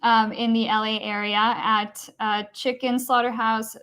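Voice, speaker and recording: Woman from Costa Rica, fairly high voice, earnest, explanatory, lots of fillers, debate panelist. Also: little pitch movement, a little slow, fairly bright tone, clear, resonant tone. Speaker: vegan debater. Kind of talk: online debate.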